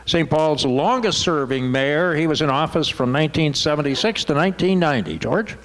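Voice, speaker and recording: A man moderating a forum, briskly introducing the next speaker to an audience. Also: a little high. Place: hotel event room with audience. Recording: crowd murmur and room noise. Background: audience murmur.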